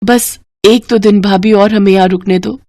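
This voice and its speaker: A woman's voice: mid-range voice, fairly even intonation, normal speed, mellow, dry recording, natural voice. Woman as humble village mother for a moral story: courteous, pleading, dry close sound. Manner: polite, pleading, deferential. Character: humble, hardworking poor village wife and mother.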